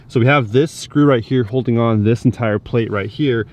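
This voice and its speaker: A man's voice: medium pitch, moderate pace. A man vlogging casually to his viewers, talking in an informal, slangy, upbeat way. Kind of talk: casual vlog chat to viewers. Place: in and around a truck. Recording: steady vehicle and outdoor background noise.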